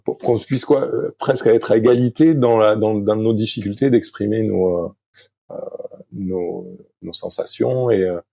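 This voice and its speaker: A middle-aged French-speaking man, a sailing coach as podcast guest, talking slowly with many fillers and restarts. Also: on the low side, creaky, mellow, dry recording. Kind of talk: podcast interview, thinking aloud.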